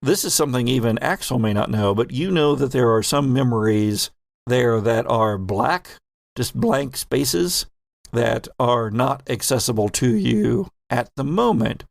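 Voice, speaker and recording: A man hosting and game mastering a tabletop podcast, shifting between casual banter and deliberate, theatrical storytelling. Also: mid-range voice, animated, creaky, no room sound, clean studio sound. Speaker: host and game master of an actual-play podcast.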